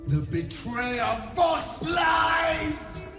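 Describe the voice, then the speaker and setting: A woman's voice: high-pitched, sing-song, very slow, vocal fry, a bit bright, raised voice, some chatter behind, full character voice. A woman voicing an imperious, wrathful fantasy queen in a video game, commanding and declamatory. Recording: echoing voice with music behind.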